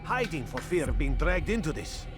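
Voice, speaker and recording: Nervous furtive villager, swoopy tenor-ish voice, video game scene, music in background. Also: male voice, on the high side, big pitch swings, moderate pace. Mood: anxious, secretive. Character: worried male villager, furtive witness to treachery. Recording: music behind the voice.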